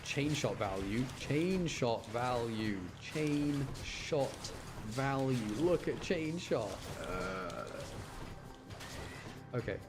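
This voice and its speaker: A man's voice: medium pitch, lively intonation, extremely slow. A man giving casual, rambling live commentary while he plays a video game, chatting informally and muttering to himself. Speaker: streamer playing a video game. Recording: music playing behind. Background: video game music.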